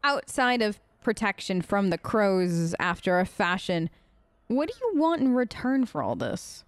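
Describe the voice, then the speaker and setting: A woman's voice: mid-range voice, sing-song, a little slow, dry recording. A woman improvising casual in-character replies as a let's play host, chatty, informal and a bit rambling. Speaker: let's play host role-playing her game character.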